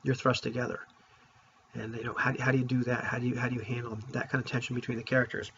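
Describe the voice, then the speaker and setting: A man talking casually to viewers as a tabletop gaming vlogger, in an informal, rambling, opinionated way, with a husky voice. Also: mid-range voice, completely flat delivery, fast, a bit bright, slight background noise. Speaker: vlogger on tabletop roleplaying games. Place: room at home. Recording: dull, lo-fi sound.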